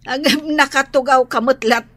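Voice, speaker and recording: A woman's voice: high-pitched, steady tone, normal speed, a bit bright, no room sound. A woman playing a kindly, doting grandmother in a Filipino radio drama. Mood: fond, chatty.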